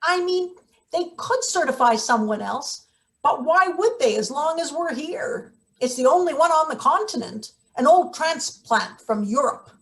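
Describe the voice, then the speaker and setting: A woman's voice: sits high, lively intonation, a little slow, fairly bright tone, exaggerated voice. A woman acting a brusque, scheming, cynical maintenance boss in a read-through of a satirical stage play. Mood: insistent. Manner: bossy, clipped, sardonic.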